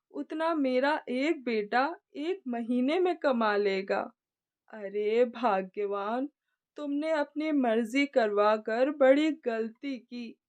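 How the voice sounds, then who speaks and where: An older father from a moral storybook, acted out by a woman, speaks very slowly in a drawn-out lament. He sounds proud of his house yet wounded and aggrieved, with a voice pitched high for such a man.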